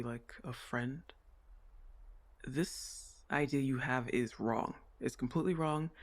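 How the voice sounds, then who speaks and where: A woman's voice: a bass voice, heavily acted. A woman playing an awkward, well-meaning sleep paralysis demon in an ASMR roleplay, talking in a hesitant, flustered and reassuring conversational way.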